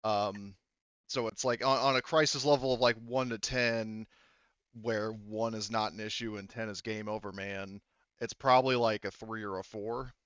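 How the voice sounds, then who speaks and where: Low, smooth-voiced man explaining haltingly. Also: steady tone, a bit bright, no echo, dull audio. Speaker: game master. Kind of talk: casual tabletop game narration.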